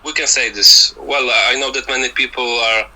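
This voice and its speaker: A man's voice: medium pitch, steady tone, moderate pace, bright, forceful tone, low-quality recording. A man with a Polish accent, a native Polish speaker, giving casual football analysis as a scouting guest and often stammering over repeated words. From Poland.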